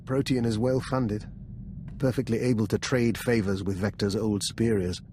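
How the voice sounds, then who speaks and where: Game character, played by a man: older spy doctor, wry, precise, lowish register. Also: steady tone, normal speed, darkish tone, slight background noise, natural voice. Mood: grave, precise. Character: shrewd, dry-witted older male imperial scientist spy. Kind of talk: video game cutscene acting.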